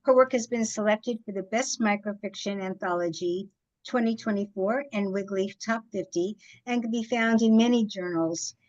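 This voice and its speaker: A woman's voice: medium pitch, darkish tone, dull audio. A woman hosting a reading series, introducing the next writer with gracious, friendly remarks. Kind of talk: introducing readers.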